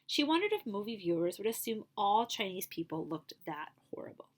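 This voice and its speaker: A woman librarian reading a picture book aloud at a steady storytelling pace, now and then stopping for a short aside to her listeners. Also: medium pitch, brisk.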